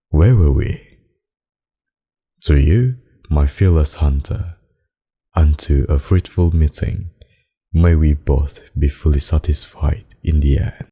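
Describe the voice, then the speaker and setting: A man voicing a suave, possessive, menacing vampire in an ASMR roleplay, with formal, courtly, seductive speech and a gravelly, husky voice. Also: extremely low pitch, mellow, full character voice. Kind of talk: ASMR roleplay, dramatic monologue.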